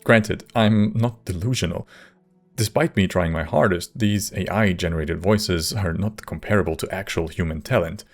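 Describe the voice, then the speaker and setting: A man reading scripted video essay narration in a measured, wry manner. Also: deep voice, soft background music.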